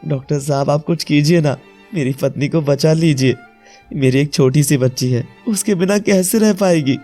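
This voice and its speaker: A man's voice: a little high, moderate pace, warm tone, soft background music, close to an everyday voice. A man voicing a devoted, emotional young husband and father, earnest and pleading, in a dramatised story. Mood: desperate pleading. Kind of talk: dramatised Hindi moral story acting.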